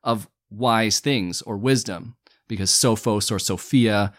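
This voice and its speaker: A man on a Bible study podcast, explaining Greek word roots in a measured, teacherly way. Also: lowish voice, dry recording, studio quality. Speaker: podcast co-host.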